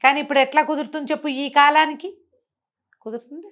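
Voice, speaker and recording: A woman's voice: high voice, little pitch movement, quick pace, a bit bright, lo-fi sound. A woman giving a conversational moral talk, teaching emphatically and often posing rhetorical questions. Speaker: storyteller explaining moral teachings.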